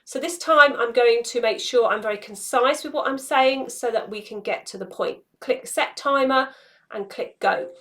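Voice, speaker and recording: Higher-pitched woman giving a tutorial. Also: normal speed, a bit bright. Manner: explanatory, instructional. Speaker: social media tutorial presenter. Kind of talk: step-by-step app tutorial. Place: small indoor room, home studio.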